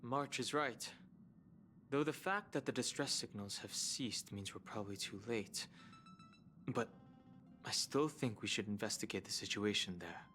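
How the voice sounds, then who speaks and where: A man's voice: fairly low pitch, a little slow, bright, forceful tone, faint music behind. A man voicing a serious, level-headed young adventurer in a video game, reserved and matter-of-fact.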